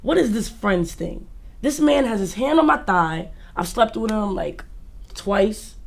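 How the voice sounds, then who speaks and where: A woman performing a jilted dater in a confessional comic monologue, with halting, incredulous delivery. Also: medium pitch, lively intonation, creaky. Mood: exasperated, hurt. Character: jilted, exasperated woman recounting a disastrous date.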